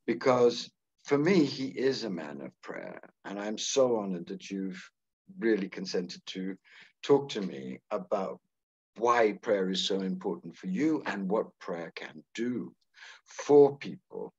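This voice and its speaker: Husky, effusive male host. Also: fairly low pitch, very animated, measured pace, highs cut off. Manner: effusive, emphatic. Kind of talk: interview introduction.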